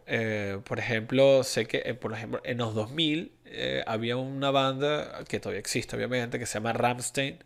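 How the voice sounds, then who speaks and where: A man's voice: medium pitch, vocal fry, fairly bright tone. A young Venezuelan man with a Venezuelan Spanish accent hosting a podcast, talking in a rambling way with many restarts and fillers. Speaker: podcast host. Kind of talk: casual solo podcast monologue.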